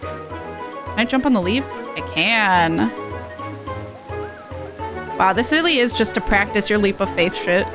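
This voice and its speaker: A woman's voice: mid-range voice, lively intonation, slow pace, pauses often. Chatty woman streamer with music behind. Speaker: game streamer. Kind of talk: casual playthrough commentary. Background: game music.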